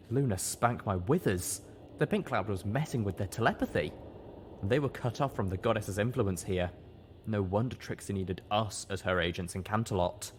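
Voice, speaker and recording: A man narrating a fan-written novel aloud as an audiobook, in a steady, earnest reading. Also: lowish voice, slight background noise. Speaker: audiobook reader.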